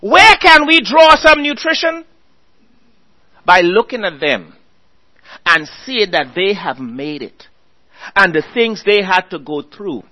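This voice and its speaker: A man preaching a sermon, earnestly exhorting his listeners and reading scripture aloud slowly. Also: high-pitched, very animated, bright, forceful tone, poor audio.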